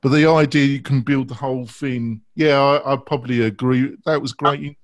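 Male moderator, fifties. Mid-range voice, dry close-miked sound, deliberate and halting phrasing. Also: mid-range voice, steady tone, no room sound. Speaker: host. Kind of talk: round-table moderation. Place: indoor room at home. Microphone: close boom-arm microphone.

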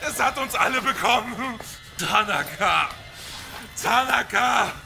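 Frantic, dying spaceship survivor. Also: male voice, high voice, animated, slow pace, gravelly, exaggerated voice. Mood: frantic terror. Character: crazed, badly wounded, terrified male spaceship survivor. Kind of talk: sci-fi horror audio drama acting. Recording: music under the voice.

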